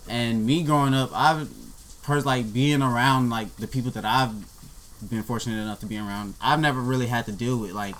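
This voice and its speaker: A young man in casual conversation, telling a story in a relaxed way with many fillers. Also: mid-range voice, a little fast, poor audio. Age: young adult.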